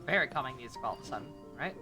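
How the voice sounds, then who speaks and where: A woman's voice: a bass voice, lively intonation, very fast. A woman live streaming a video game, chatting casually as she plays. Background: game music.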